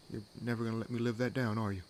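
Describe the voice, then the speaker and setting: A man playing a wry, world-weary vampire hunter in an intimate audio roleplay, teasing and sardonic, with unhurried pauses. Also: lowish voice, a little hiss or hum, close to an everyday voice. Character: wry, world-weary one-armed male vampire hunting his own kind. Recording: nature ambience laid under voice. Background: forest ambience with crickets and insects.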